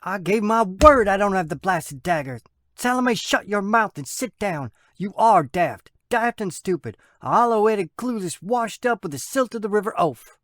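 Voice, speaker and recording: A man's voice: sits high, lively intonation, normal speed, fairly bright tone, no echo, pitched above the performer's own voice. Male reader as a scornful young ferret, raised and dry. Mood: furious. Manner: harsh, scornful. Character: angry young male ferret, harsh and insulting.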